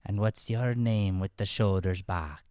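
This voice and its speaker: Man putting on a slow, menacing Irish householder. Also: on the low side, warm tone, clear, resonant tone, no echo, studio quality, pitched below the performer's own voice, natural voice. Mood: taunting. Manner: slow, taunting, drawn-out threats. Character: menacing Irish householder guarding his alley. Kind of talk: improvised old-time radio comedy play.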